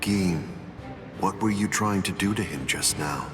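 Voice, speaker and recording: An actor playing a calm, coldly arrogant arch-villain in an anime game dub, composed, pompous and condescending. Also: male voice, sits low, measured pace, music in the background. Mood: cold command.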